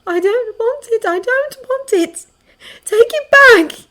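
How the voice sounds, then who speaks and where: Woman voicing an anguished, high-pitched ghost girl. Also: extremely slow, exaggerated voice. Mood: frightened, pleading. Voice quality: high, strained. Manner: pleading, repetitive, tearful. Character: anguished young ghost girl, crying out in pain.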